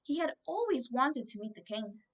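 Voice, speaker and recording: A woman's voice: sits high, sing-song, moderate pace, far from the mic. A twelve-year-old girl reading a children's storybook aloud as scripted narration. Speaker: book reader.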